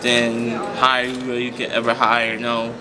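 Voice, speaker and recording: A man's voice: mid-range voice, monotone, measured pace, rough recording. A man in his twenties talking casually about faith in a group discussion. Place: large open indoor lounge. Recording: indoor chatter behind voice.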